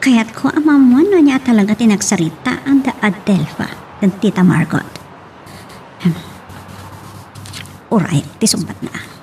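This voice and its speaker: A woman's voice: fairly high pitch, expressive, unhurried, pauses often, darkish tone, faint music behind. An actress playing an inquisitive, persistent young woman in an Ilocano radio romance drama, with an Ilocano accent. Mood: curious, insistent.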